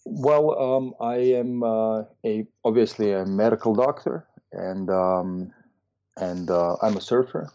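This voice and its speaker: A middle-aged man from Austria, a doctor and surfer, answering interview questions slowly with many fillers and restarts. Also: on the low side, mellow, muffled recording. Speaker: guest, medical doctor and surfer. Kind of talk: casual interview conversation.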